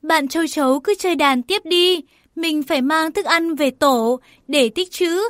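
A woman narrating a scripted children's story in a careful, clearly phrased read-aloud manner. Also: high-pitched, unhurried, no room sound.

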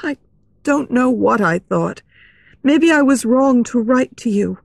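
A woman's voice: a little high, expressive, measured pace, vocal fry, no echo, clean studio sound, pitched above the performer's own voice, exaggerated voice. A woman playing a shy, emotional young woman who speaks guardedly in an audiobook story. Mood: flustered, defensive.

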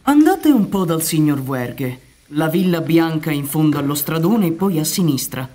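Odd, laconic mechanic, lively pitch. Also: male voice, normal speed. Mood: matter-of-fact. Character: laconic, odd male village mechanic, quietly delighted. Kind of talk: Italian radio drama acting. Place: theatre stage, radio drama recording.

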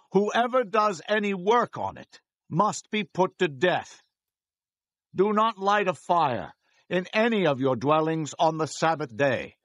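A solemn bible prophet leader, really slow and deliberate, commanding, very dry close recording. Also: male voice, fairly high pitch, no echo, exaggerated voice. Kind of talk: dramatized audio Bible acting.

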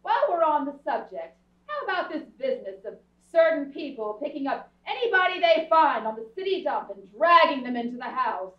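A woman playing a snide, spoiled, sharp-tongued young society daughter in a staged radio play. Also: sits high, big pitch swings, brisk. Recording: echoey stage, far mic, muffled.

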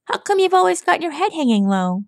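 A woman's voice: extremely high pitch, very animated, a little fast, no echo, clean studio sound, pitched above the performer's own voice, full character voice. A woman voicing a brash, cocky young female pegasus pony who teases in casual slang. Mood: teasing. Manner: teasing, casual slangy talk.

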